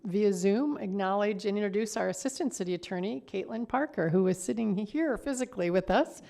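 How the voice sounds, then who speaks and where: A woman's voice: medium pitch, brisk, creaky, a little mellow. A woman speaking as a mayor chairing a council meeting, courteous and procedural as she moves through formal business.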